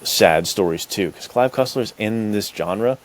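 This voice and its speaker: A man's voice: lowish voice, measured pace. A man hosting a podcast in casual chat, speaking with slangy enthusiasm. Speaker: podcast host.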